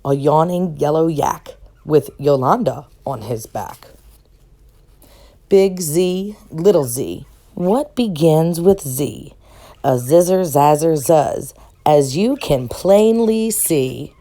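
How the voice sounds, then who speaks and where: A woman reads a children's picture book aloud, taking her time over each phrase. Her low-pitched, mellow voice swings up and down in a deliberate sing-song, as if for young listeners. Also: lively intonation. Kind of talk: read-aloud of a rhyming alphabet picture book.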